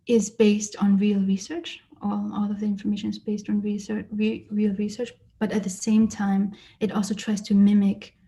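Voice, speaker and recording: A woman who grew up in Germany, an artist giving a lecture about her exhibition and speaking thoughtfully with frequent pauses and fillers. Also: mid-range voice, level tone, gravelly, warm tone. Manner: hesitant, many fillers and restarts, thoughtful. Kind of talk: lecture presentation. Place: lecture or online presentation.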